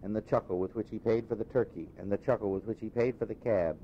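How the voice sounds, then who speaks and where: Low-voiced man narrating a classic story. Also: fairly even intonation, fast, dark tone. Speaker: audiobook reader. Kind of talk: expressive literary story narration. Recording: dull, noisy recording with low rumble.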